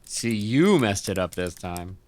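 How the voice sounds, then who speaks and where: A man's voice: lowish voice, big pitch swings, unhurried, darkish tone. A man playing a cocky, easygoing young rebel tinkerer in an audio drama, breezy and wisecracking. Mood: casual.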